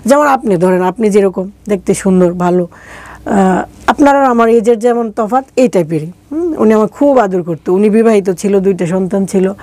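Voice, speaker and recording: A woman's voice: medium pitch, moderate pace, dark tone, no room sound. A woman with a regional Bangladeshi accent telling her life story conversationally, in colloquial words, as an interview guest. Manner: colloquial, acting out remembered dialogue.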